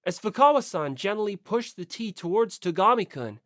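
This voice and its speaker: Audiobook narrator, scripted first-person storytelling, animated intonation, dry close sound. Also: mid-range voice, moderate pace, dry recording.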